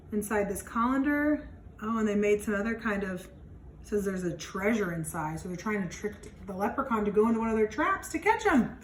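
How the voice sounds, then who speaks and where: A woman teacher reading a children's storybook aloud, pausing to explain things simply to young listeners. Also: on the high side. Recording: roomy, distant mic, faint background noise.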